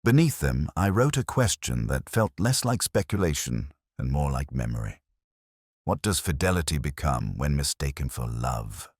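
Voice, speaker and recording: Man, extremely low pitch, expressive intonation, weary inspector narrating a detective drama, brooding and measured, literary phrasing. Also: husky, no room sound, studio quality. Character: weary, reflective male police inspector recalling a case. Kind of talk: scripted first-person audio drama narration.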